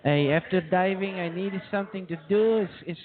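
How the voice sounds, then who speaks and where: Man putting on a high-voiced Mexican radio sidekick. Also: steady tone, brisk, natural voice. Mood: earnest. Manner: simple broken English, hesitant, repeating himself. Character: cheerful Mexican ex-diver, janitor turned radio producer. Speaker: show producer and engineer. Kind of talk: improvised comedy radio banter. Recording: tinny phone-like sound, background crowd.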